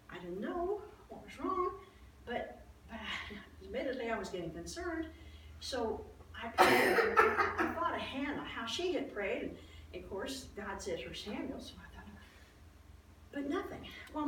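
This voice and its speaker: Echoey, high-voiced woman acting an aging priest's wife. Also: very animated, a bit bright, heavily acted. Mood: puzzled, yearning. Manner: chatty, folksy, halting, emphatic. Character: warm, wistful aging wife of ancient Judean priest. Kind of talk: first-person dramatized Bible storytelling to an audience. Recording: echoey room, distant mic, faint noise.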